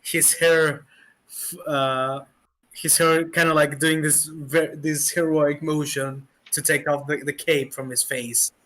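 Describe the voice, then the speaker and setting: A man narrating as game master of a tabletop game, pausing often on fillers. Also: fairly high pitch, level tone, a bit bright. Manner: hesitant, full of fillers.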